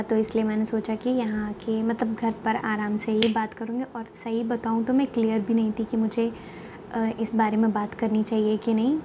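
Young woman vlogger, high voice, chatty storyteller, phone at arm's length over background noise. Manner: chatty, mixes English words into Hindi. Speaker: travel vlogger. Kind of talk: casual vlog chat. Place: small bedroom at home, indoors. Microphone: phone camera at arm's length. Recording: noisy train and station ambience.

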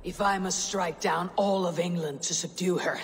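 An actress playing a fierce Viking war leader in a game cutscene, with a husky voice and grave, terse command. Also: female voice, fairly low pitch, steady tone, normal speed, soft background music, close to an everyday voice. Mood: cold, threatening. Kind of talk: dramatic game cutscene acting.

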